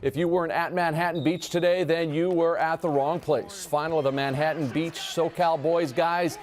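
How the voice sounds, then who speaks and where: A man with an American accent reading a scripted sportscast over highlights in a punchy, playful delivery. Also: steady tone, normal speed. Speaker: TV sports anchor.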